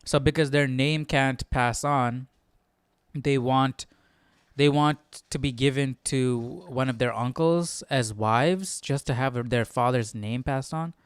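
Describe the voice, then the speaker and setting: Smooth-voiced man thinking aloud. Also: medium pitch, takes it slowly, dry recording. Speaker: Bible study livestream host. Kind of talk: casual read-along commentary.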